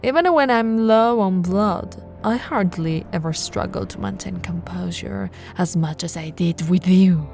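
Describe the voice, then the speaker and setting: An ancient vampire noblewoman, acted by a woman for an ASMR roleplay, speaking at an unhurried, lingering pace. Her voice has a crackly edge and often drops to a breathy whisper, seductive and possessive, over faint music. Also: on the low side. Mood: haughty, intrigued. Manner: slow, teasing, breathy whispering with soft laughs.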